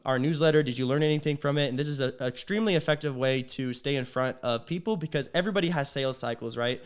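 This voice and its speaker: A young man talking through a business tutorial in a loose, conversational way, tagging his points with "right?". His voice is smooth and resonant underneath, with a slight rasp from a cold. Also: medium pitch. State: a little sick, slightly raspy. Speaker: agency owner teaching business sales.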